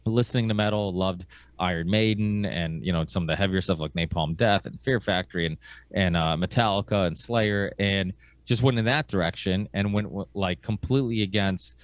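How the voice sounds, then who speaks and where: A man born in Portugal, hosting a podcast and telling stories in a relaxed, rambling way. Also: sits low, moderate pace, no echo. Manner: rambling, relaxed storytelling with fillers. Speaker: podcast host. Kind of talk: casual conversation.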